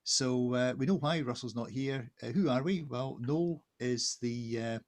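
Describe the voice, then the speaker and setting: A man's voice: mid-range voice, a little slow. A man co-hosting an online event on a video call, casual and unscripted, with a hesitant, rambling delivery. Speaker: co-host, art director.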